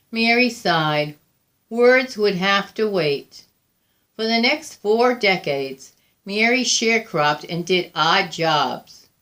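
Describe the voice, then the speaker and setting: Woman reading a kids picture book out loud, slow n deliberate, expressive storyteller pauses. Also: mid-range voice. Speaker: volunteer storybook reader. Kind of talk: read-aloud picture book narration.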